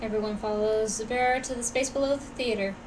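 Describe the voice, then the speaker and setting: A woman's voice: fairly high pitch, steady tone, brisk, a little mellow, a little hiss or hum, low-quality recording. A woman live streamer casually commenting on a game and reading bits aloud.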